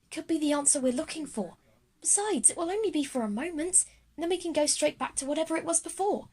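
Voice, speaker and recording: A woman's voice: high voice, lively intonation, fast talker, darkish tone, pitched above the performer's own voice. A woman voicing a curious, bold schoolgirl who leads her friends in an audio drama. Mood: scheming, persuasive.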